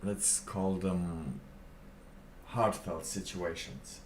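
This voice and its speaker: Low, slow-talking man rambling on stream. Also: fairly even intonation, a little hiss or hum. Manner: rambling, halting, many fillers and restarts. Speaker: live streamer. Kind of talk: casual live stream chat. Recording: roomy, distant mic with faint ambience.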